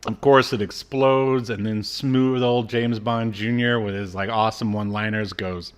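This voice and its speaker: A man's voice: lowish voice, steady tone, moderate pace, smooth. A man in his thirties or forties co-hosting a podcast, casually recapping a show with wry, mocking asides. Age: 30s to 40s. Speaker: podcast co-host. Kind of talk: casual chat recapping a cartoon.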